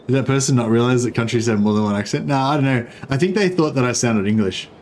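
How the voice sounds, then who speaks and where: A man with an Australian accent, chatting in a rambling, relaxed way while commenting on his gameplay stream. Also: mid-range voice, quick pace, slight background noise. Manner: rambling, chatty, self-correcting.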